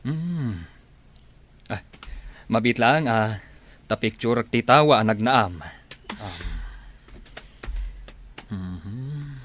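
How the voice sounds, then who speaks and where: An actor in a radio drama playing a cautious police officer who questions people. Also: male voice, mid-range voice, drawn-out words, pauses often, a bit bright, slight background noise. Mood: questioning, wary. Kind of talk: Ilocano radio drama acting.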